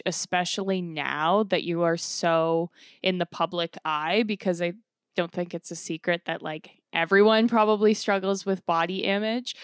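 Brief: woman co-host, lowish smooth voice, dry close mic, eager questioner. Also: no echo. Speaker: podcast co-host. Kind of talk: casual interview chat.

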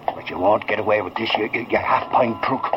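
A man's voice: on the low side, a little fast, lightly acted. An actor playing a stout, blustering Irishman in a 1940s radio drama, sputtering indignantly. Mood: flustered, indignant. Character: blustering, indignant stout man, comic tough. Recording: dull vintage radio recording with noise.

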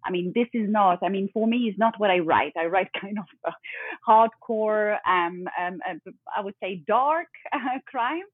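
A woman's voice: mid-range voice, moderate pace, no echo. A woman with a French accent, a native French speaker, talking casually as an interview guest, thoughtful and sometimes searching for English words. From France. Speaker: guest author. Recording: tinny phone-like call line, dry.

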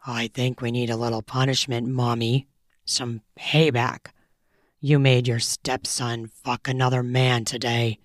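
A stern, possessive young man, as a woman reader puts him on, murmuring his commands low and slow. The voice sits deep and even, and the recording is close and dry with no room sound. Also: no echo.

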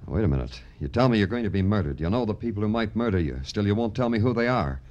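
An actor playing a weary, wry New York police detective in old radio noir, with deadpan, brooding delivery. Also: male voice, lowish voice, fast talker, highs cut off, close to an everyday voice. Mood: deadpan, guarded. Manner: terse deadpan dialogue, brooding poetic narration. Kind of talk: 1950s radio noir acting and narration.

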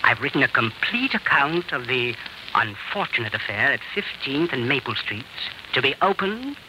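A man's voice: medium pitch, sing-song, normal speed, lightly acted. An actor playing a smugly polite male blackmailer in an old-time radio drama, with oily, formal phrasing and polite hesitations. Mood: smugly menacing. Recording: noisy old radio recording.

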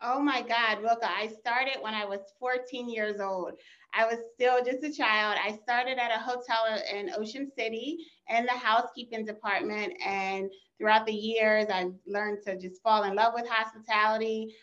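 A woman's voice: on the high side, fairly even intonation, moderate pace, a bit bright. A woman from Maryland's Eastern Shore, a guest and business owner, speaking upbeat and casual with many "um" fillers. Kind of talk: casual interview. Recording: slightly dull, lo-fi line.

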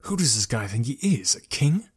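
A man's voice: mid-range voice, animated, fast, darkish tone, close to an everyday voice. A man reads a confident male archer in a fantasy audiobook. He speaks in a commanding, curt way with a dry, scornful edge. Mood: rueful, disgusted.

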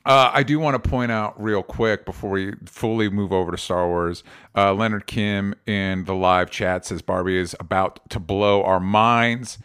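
A man's voice: lowish voice, steady tone, moderate pace, fairly bright tone, clean tone. A man co-hosting a casual podcast, rambling, with frequent restarts and fillers. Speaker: podcast co-host.

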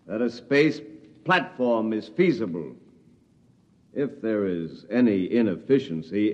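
Clipped, gruff military general. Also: male voice, medium pitch, lo-fi sound. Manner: clipped, laconic soldierly delivery. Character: proud, gruff male military general, defiant and brusque. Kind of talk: old-time radio drama acting.

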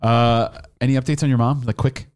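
A man's voice: medium pitch, steady tone, brisk, a little mellow. A man in a casual podcast chat who mostly cuts in with short questions and reactions. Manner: brief interjections and questions.

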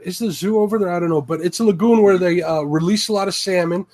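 A man's voice: high voice, fast, darkish tone. A man from Chicago with a Chicago accent, hosting a fishing livestream in a rambling, self-correcting chat.

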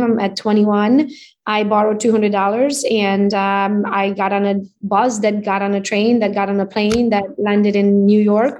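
A woman in her forties from Romania with a Hungarian accent, telling her story as an interview guest in an upbeat, animated way. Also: mid-range voice, low-quality recording. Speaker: real estate agent guest. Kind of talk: casual interview chat.

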